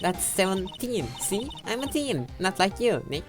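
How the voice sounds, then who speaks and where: A young woman voicing an excitable teenage girl sidekick in a fan game voice-over, talking in a gushing, high-spirited way over game music. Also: a little slow. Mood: excited.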